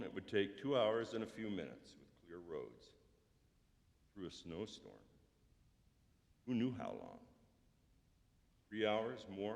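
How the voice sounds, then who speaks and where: Low-voiced man telling a story in church. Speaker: scripture and story reader. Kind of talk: story read aloud in church. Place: church sanctuary. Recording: long church reverb.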